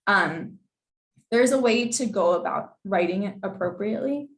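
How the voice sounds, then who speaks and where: A woman's voice: mid-range voice, lively intonation, moderate pace, echoey room, low-quality recording. A young woman who is losing her voice, presenting an informal info session in a chatty way with many fillers. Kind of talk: informal advice talk with slides.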